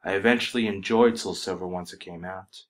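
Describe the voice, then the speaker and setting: A man reading a scripted horror story as its narrator, in an ominous, dramatic manner that can drop to a hush. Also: deep voice, fairly quick.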